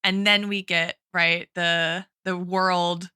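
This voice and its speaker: A woman's voice: on the low side, level tone, slow pace, sharp tone, clear, resonant tone, no echo, studio quality. A woman in a thoughtful literary podcast discussion, reflective and analytical, often checking in with "right" and "like". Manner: reflective, analytical, hedging with frequent tags like "right" and "like". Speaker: podcast host and guest expert, a teacher.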